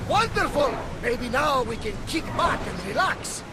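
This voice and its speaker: An actor playing a boisterous, cheerful ship captain in a video game cutscene. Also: male voice, very high-pitched, big pitch swings, a little slow, very noisy, full character voice. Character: jolly seafaring ship captain.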